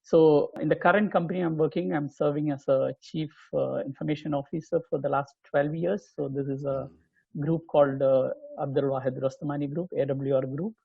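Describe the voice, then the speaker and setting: Indian guy in his 50s on a video call, gravelly and husky, dull dry sound. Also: on the high side, steady tone, fairly quick, no echo. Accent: Indian English. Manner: earnest, many "uh" fillers. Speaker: guest, chief information officer. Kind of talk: casual video call chat.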